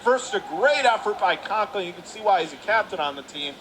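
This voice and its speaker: High-pitched hockey announcer, man, noisy rink. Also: lively intonation, brisk. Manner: urgent calls of the action in clipped phrases. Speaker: radio play-by-play hockey announcer. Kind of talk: live hockey commentary. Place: indoor ice hockey arena. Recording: clearly noisy live rink recording.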